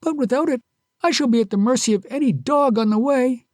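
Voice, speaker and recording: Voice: high-pitched, brisk, no room sound, clean studio sound, pitched above the performer's own voice, close to an everyday voice. A storyteller voicing a polite, earnest young male traveler who pleads courteously. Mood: anxious.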